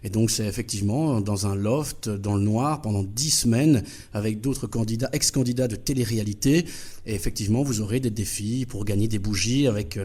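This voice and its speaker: A man's voice: mid-range voice, a little mellow. A man, guest on a radio show, talking casually through a prank call, rambling and repeating his phrases.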